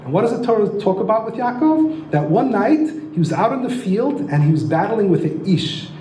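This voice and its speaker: A man's voice: high-pitched, sing-song, a little fast, mellow, a little hiss or hum. A man giving an enthusiastic teaching lecture on astrology, often asking his listeners rhetorical questions. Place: lecture room. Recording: roomy echo.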